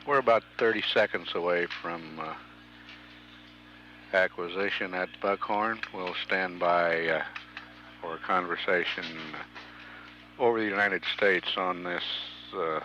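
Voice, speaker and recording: A man giving spaceflight mission commentary, halting with frequent hesitations. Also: deep voice, bright, forceful tone, a little hiss or hum. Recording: dull archival broadcast audio.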